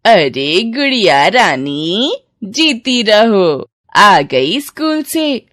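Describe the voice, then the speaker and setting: A woman's voice: medium pitch, big pitch swings, very slow, a bit bright, dry recording, clean studio sound, full character voice. A woman voicing a meddling, suspicious old village aunt who scolds and taunts in a rustic way. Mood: gushing. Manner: taunting, dialect-tinged scolding.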